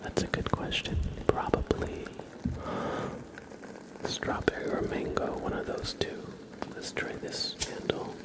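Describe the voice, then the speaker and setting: A man hosting an ASMR livestream, chatting casually to viewers in a hushed, soothing whisper. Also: takes it slowly. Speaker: livestream host.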